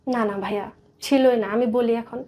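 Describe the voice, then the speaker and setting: Bangladeshi woman kinda high voice, telling her life story in an interview, earnest, lots of detail. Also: steady tone, moderate pace, dark tone. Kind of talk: personal storytelling in a radio interview.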